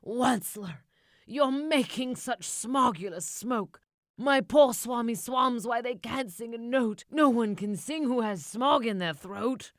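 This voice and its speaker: A woman voicing a small, indignant old male creature from a storybook, scolding in emphatic rhyme with a croaky edge. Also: on the high side, slow, no echo, studio quality, voice pushed up. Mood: pleading. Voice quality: croaky, coughing. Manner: scolding, emphatic, rhyming speech.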